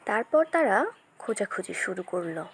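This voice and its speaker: A woman's voice: mid-range voice, big pitch swings, normal speed, slight background noise. A woman storyteller giving scripted narration of a children's story.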